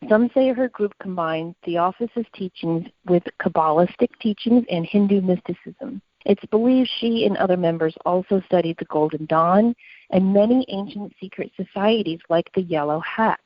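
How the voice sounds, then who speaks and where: A woman with a fairly low voice tells a story as a podcast co-host, reading from prepared notes. She explains as she goes. The sound is close and dry, slightly dull. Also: normal speed, dry recording.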